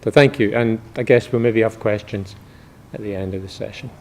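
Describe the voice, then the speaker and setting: A man, a medical researcher, giving a public academic lecture in a measured, informal explaining manner. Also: on the low side, fairly even intonation, a little mellow.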